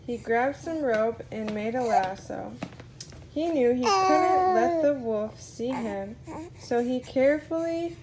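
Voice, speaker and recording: Slow, high-voiced woman reading a story. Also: lively intonation, noisy background. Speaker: storyteller reading a children's book aloud. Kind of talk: read-aloud story narration for a small child.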